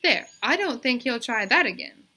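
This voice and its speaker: A woman's voice: fairly high pitch, very animated, moderate pace, sharp tone, voice pushed up, exaggerated voice. A woman voicing a kindly but firm female schoolteacher in a storybook, coaxing and reassuring.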